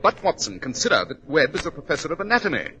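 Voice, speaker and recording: An actor playing a keen, superior gentleman detective in 1940s radio drama, brisk and precise in manner. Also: on the high side, big pitch swings, vocal fry, full character voice. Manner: incisive, dismissive, precise. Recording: muffled 1940s radio recording, faint noise.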